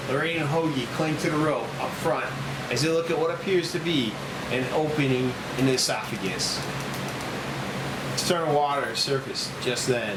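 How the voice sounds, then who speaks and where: A man reads screenplay action and scene headings as narrator at a table read. His mid-pitched voice sounds in a roomy space, with loud steady noise behind it. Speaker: stage directions reader.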